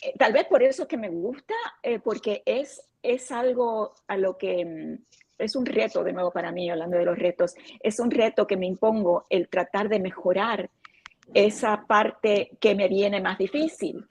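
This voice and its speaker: A woman's voice: medium pitch, measured pace, a little mellow, husky. A woman, an author and illustrator whose first language is Spanish, speaking expressively on a panel over a video call. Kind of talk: casual panel chat.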